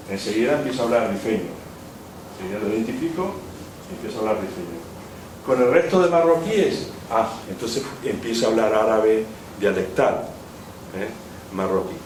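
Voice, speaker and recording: A man giving an academic lecture, rambling and rephrasing with frequent hesitations. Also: on the low side. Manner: discursive, rephrases often, many "eh" fillers. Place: lecture hall. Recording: echoey hall, distant mic, background noise.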